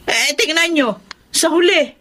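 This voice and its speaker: An actress playing a resentful, sharp-tongued adult child in a radio drama, scolding and scornful. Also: female voice, sits high, a little slow.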